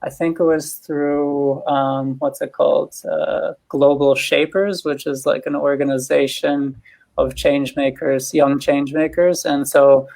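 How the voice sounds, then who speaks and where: A man's voice: on the high side, little pitch movement, creaky, darkish tone, low-quality recording. A man from Switzerland, founder of the group, telling a rambling story on a video call with frequent self-corrections. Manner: rambling, self-correcting, pace swinging fast and slow. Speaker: founder and host. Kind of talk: casual storytelling on a webinar.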